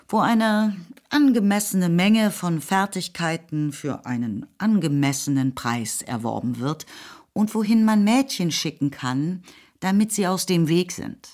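A woman narrating a period novel for a radio play in a measured, wry, literary manner. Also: low-pitched, a little mellow.

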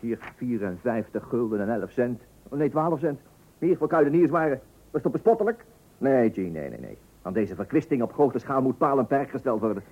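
An old, tinny radio recording of a stingy, self-important husband. His husky voice swings widely as he blusters and stumbles through his comic lines. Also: medium pitch, very animated, fairly quick, warm tone. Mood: indignant. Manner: pompous, blustering, stammering when flustered. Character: penny-pinching, self-important husband and father, easily tempted. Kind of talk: comic radio play acting.

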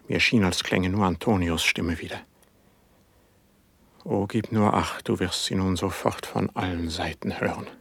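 A brooding poet in a classical verse radio play. His voice is low and husky, recorded close and dry. He declaims with fervour, restless and melancholy, as if driven by his work. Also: a bit bright, no room sound, full character voice. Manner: declaimed, fervent stage diction.

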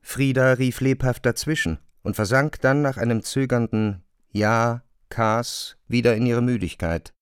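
A man's voice: medium pitch, measured pace, hardly any pauses, smooth, no echo, studio quality. A man narrating a literary radio drama in scripted prose.

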